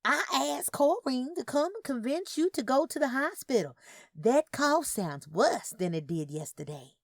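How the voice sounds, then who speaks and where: A woman's voice: high-pitched, lively intonation, unhurried, no room sound, clean studio sound, voice pushed up, exaggerated voice. A woman voicing a firm, no-nonsense woman with an insistent manner in an audio story. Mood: concerned, insistent. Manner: insistent, bossy. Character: firm, no-nonsense Southern woman looking after elderly twins. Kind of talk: audio fiction character dialogue.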